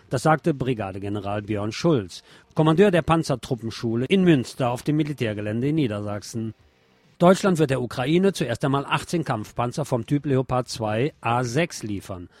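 A man presents the news on a radio show. He reads reports in a mid-pitched voice and slips in sardonic, opinionated asides between items. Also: no room sound. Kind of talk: news reading with opinionated commentary.

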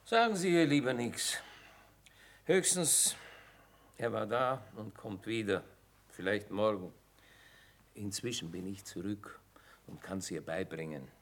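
An actor playing a down-at-heel, dignified army chaplain in a German radio play, with solemn, theatrical emphasis. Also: male voice, fairly low pitch, unhurried, pauses often. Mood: grave.

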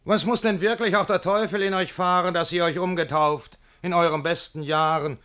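A worldly friend who is the teasing voice of reason in a classic verse radio comedy. His voice sits a little high for a man. He declaims elegantly, with measured, sceptical irony. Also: steady tone, fairly bright tone, smooth.

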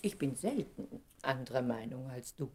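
A woman's voice: a bass voice, expressive, normal speed, warm tone, exaggerated voice. A woman acting an effusive, ambitious stage actress who is dreamily in love, in a theatrical German radio drama style. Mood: tender, dreamy. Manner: theatrical, dreamy, swinging from breathy murmurs to exclamations.

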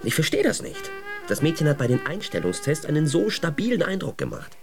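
A man's voice: fairly high pitch, huge pitch swings, a little fast, gravelly, over music, full character voice. A man acting in a German radio drama as a tense, officious husband and dutiful official, speaking in a clipped, urgent way. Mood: controlled, uneasy.

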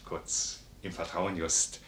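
An actor playing a boisterous, generous, war-loving sergeant in a 1950s German radio play, hearty and exclamatory. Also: male voice, low voice, flat delivery, takes it slowly, a bit bright. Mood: excited, confiding. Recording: roomy 1950s radio studio recording.

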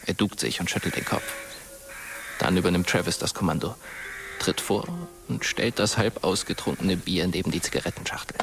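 An actor playing a dead teenage boy who narrates a German radio drama as a wry, wistful ghost in a hushed, measured way. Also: deep voice, gravelly. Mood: wistful, observant. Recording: music under the voice.

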